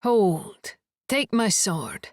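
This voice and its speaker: A woman playing an amateur stage actress who declaims Shakespeare in a projected, deliberate voice. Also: medium pitch, animated, dry recording, studio quality. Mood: stagey, deliberate.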